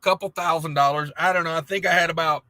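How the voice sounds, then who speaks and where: A man hosting a trucking livestream, reading viewer comments in a rambling, laid-back chat, and his voice is going hoarse. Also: a little high, fairly even intonation, quick pace, bright, forceful tone, dry recording. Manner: rambling, laid-back, many fillers and restarts. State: losing his voice.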